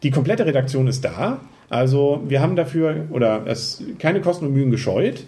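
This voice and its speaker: A man's voice: mid-range voice, lively intonation, brisk, mellow. A man hosting a board game podcast, chatting and joking casually with colleagues at a party. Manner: joking, chatty, lots of fillers. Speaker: podcast host. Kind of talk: casual chat. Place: office Christmas party room.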